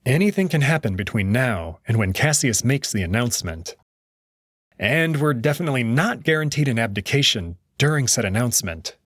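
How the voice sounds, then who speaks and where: A man narrating a story in the first person in an expressive storytelling manner. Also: mid-range voice, no room sound, studio quality. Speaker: audio drama narrator.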